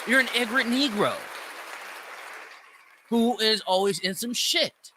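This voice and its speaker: A man's voice: very high-pitched, a little slow, crowd noise, noisy background. A man hosting an opinionated solo commentary show, speaking bluntly and emphatically, swearing freely and repeating phrases for stress. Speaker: podcast host.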